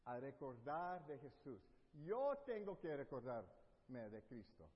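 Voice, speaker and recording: A man's voice: medium pitch, very animated, takes it slowly, breathy edge. A middle-aged man from Texas who grew up speaking there, preaching a sermon with English fillers slipped into his speech. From Texas, USA. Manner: mixes English fillers into Spanish, warm and earnest. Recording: dull lo-fi audio with long hall reverb.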